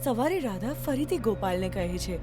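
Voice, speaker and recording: A woman's voice: medium pitch, expressive, normal speed. A woman narrating a scripted children's story as its storyteller. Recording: music bed behind narration.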